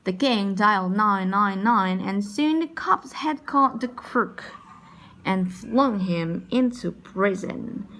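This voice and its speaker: A woman reading a children's picture book aloud in an expressive, animated and unhurried storytelling manner. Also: medium pitch. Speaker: storybook reader.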